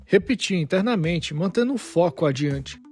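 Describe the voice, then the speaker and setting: Male narrator for dramatic fiction, high register, scripted storytelling, light music underneath. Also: animated, moderate pace, a little mellow.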